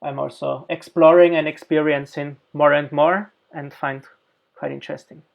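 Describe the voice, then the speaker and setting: A man from Austria, a designer and researcher, giving an academic guest lecture with halting speech and many fillers. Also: on the high side, little pitch movement, vocal fry, highs cut off.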